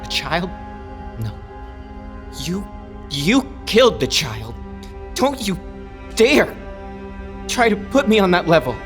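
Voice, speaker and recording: A man playing a restless, defiant young man in an audio drama, speaking in a halting, emotional way. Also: high voice, very animated, a bit bright, faint music behind, exaggerated voice. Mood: bitter, accusing.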